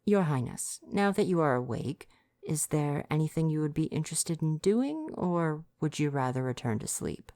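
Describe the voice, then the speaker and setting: A man's voice: a little high, sing-song, normal speed, mellow, dry recording. A man playing a prim, devoted royal butler with formal, fretful diction in an ASMR roleplay. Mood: scolding, prim.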